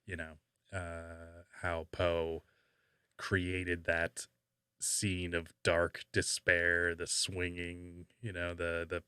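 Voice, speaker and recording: Low-voiced, halting male podcast host. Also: monotone, sharp tone, smooth, no room sound, studio quality. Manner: halting, thinking aloud. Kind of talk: casual conversational podcast talk.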